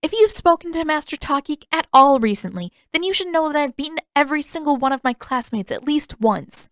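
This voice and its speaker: A woman's voice: sits high, animated, brisk, a bit bright, no echo, clean studio sound, pitched above the performer's own voice. A woman voicing a defiant, indignant teenage girl in a story reading, arguing in an emphatic, exasperated way. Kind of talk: audiobook-style fan fiction character reading.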